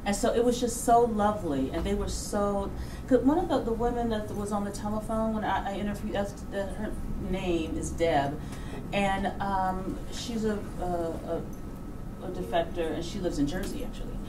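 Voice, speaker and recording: A middle-aged woman telling anecdotes casually on stage into a handheld microphone, animated and informal, acting out reactions. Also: mid-range voice, normal speed. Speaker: documentary filmmaker, guest speaker. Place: auditorium stage before an audience. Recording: roomy, distant hall sound with background noise.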